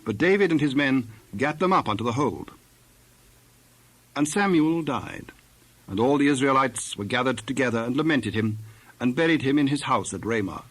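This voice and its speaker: A man's voice: medium pitch, animated, normal speed, a bit bright, dry recording, rough recording. A man narrating a dramatized scripture recording from a script, in the role of storyteller.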